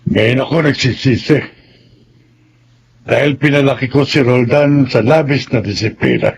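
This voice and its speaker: An elderly Filipino grandfather in a radio drama. His voice is gravelly and husky, with lively intonation and a regretful temper. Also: mid-range voice, normal speed. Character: regretful elderly grandfather, retired-age doctor and patriarch.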